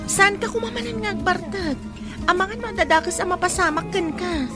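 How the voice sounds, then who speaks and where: Scolding young filipino friend, really high voice, very loud music behind, radio drama. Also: female voice, fairly quick. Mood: worried scolding. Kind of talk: Ilocano radio drama acting. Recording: very loud music under the dialogue.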